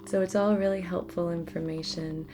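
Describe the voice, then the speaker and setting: A woman speaking softly as host of a guided spiritual ritual, often slipping into a near-whisper, in a meandering, casual chat. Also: lowish voice, fairly even intonation, normal speed, noisy background. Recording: music clearly under the voice. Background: music.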